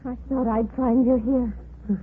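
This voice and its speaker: Anxious young woman, high voice, urgent, tinny old radio sound with faint hiss. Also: fairly even intonation, slow. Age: 20s. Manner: urgent, pressing. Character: anxious young woman pleading with her lover. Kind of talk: 1940s radio acting.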